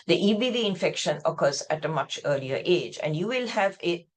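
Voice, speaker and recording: A woman from Sri Lanka with a slightly low voice delivers a specialist medical lecture. She explains things step by step in a discursive manner, often rewording a sentence halfway through. She speaks indoors over a video call. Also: animated, fairly quick. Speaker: pathologist lecturer.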